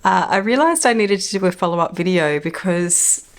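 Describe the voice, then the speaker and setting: Slightly croaky woman reviewing perfumes. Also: fairly low pitch, animated, normal speed. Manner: chatty, enthusiastic, laughs often. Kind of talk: casual to-camera review. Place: home living room.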